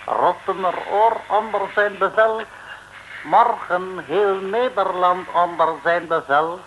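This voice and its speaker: An actor playing a pompous criminal mastermind, declaiming grandiose orders in an old radio serial. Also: male voice, high voice, slow pace, lo-fi sound. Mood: gloating. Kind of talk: early-1960s radio serial villain acting. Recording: hissy old gramophone-style radio recording.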